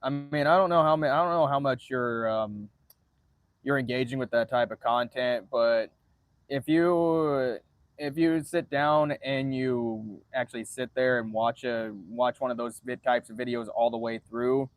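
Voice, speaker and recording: Male livestreamer, average pitch, monotone delivery, halting with repeated phrase starts, casual and sweary. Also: no echo. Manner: frequent swearing. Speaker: livestreamer answering viewer chat.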